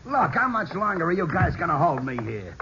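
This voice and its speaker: A man's voice: on the high side, very animated, fairly quick. An American man playing a cocky, shifty hoodlum with a brash, street-tough manner in a 1940s radio drama. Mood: defensive. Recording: muffled, noisy old radio broadcast.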